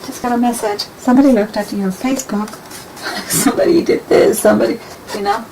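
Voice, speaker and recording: Soft-spoken woman painting, noisy room. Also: on the high side, brisk. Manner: rambling, soft-spoken, thinking aloud while painting. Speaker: painting instructor. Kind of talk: casual painting demo talk.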